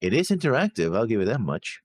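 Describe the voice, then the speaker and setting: A man co-hosting a reaction show, casually discussing an anime episode in a halting way, often repeating words. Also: on the low side, sing-song, dry recording, clean studio sound.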